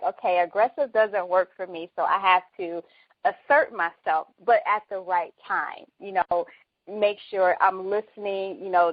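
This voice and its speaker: A soft-spoken, mild-mannered woman hosting a casual conversation. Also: mid-range voice, dry recording. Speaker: host. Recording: dull, dry lo-fi recording.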